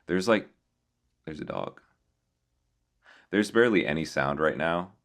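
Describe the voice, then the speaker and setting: A man giving casual reaction commentary as a host, musing aloud in a halting way. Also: extremely low pitch, animated, creaky, a bit bright. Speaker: host reacting to horror videos.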